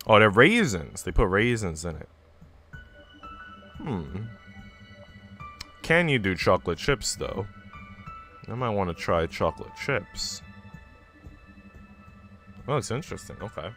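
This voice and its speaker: A man livestreaming a visual novel, moving between casual, chatty musing and expressive story narration. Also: fairly low pitch, measured pace, long pauses. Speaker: streamer reading a visual novel aloud. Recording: music playing behind the voice. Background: background music.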